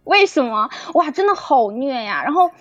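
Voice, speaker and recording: A woman chatting casually and excitedly as a guest producer, with effusive, fangirlish exclamations. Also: sits high, normal speed. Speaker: audio drama producer and guest. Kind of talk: animated casual chat. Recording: dull lo-fi audio with music behind.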